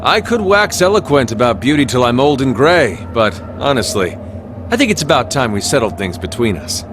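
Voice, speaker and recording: An actor playing a flamboyant, playful aesthete in a fantasy game, with a theatrical, flowery manner. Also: male voice, mid-range voice, sing-song, moderate pace, lightly acted. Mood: breezy. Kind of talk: English video game cutscene acting. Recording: clear background noise, engine-like ambience.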